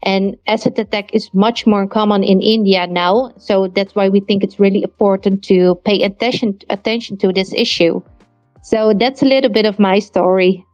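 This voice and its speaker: A woman in her thirties with a Dutch accent, introducing her project as a guest on an online panel in an earnest, steady way. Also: mid-range voice, normal speed, dry recording, soft background music. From Netherlands. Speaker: guest, NFT project founder. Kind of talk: casual online panel talk. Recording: dull lo-fi online audio stream.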